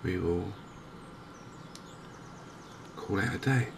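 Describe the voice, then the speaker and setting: A man giving softly spoken ASMR commentary on a game, hushed, hesitant and unhurried, close to the microphone. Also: sits low. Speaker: gaming video host. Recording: clear background noise.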